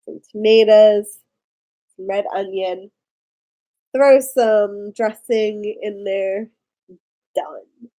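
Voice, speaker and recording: A woman with a Maryland accent talks in a loose, rambling way, going off on tangents as she chats to her live viewers. She speaks slowly, and her tone is soft and dark. Her pitch sits in a middle range. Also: rough recording. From Maryland, USA. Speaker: vlogger. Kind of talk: casual live-stream chat.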